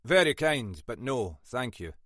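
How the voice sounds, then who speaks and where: A man voicing a calm, dry Scottish male detective inspector with a measured, wry manner. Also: medium pitch, dry recording.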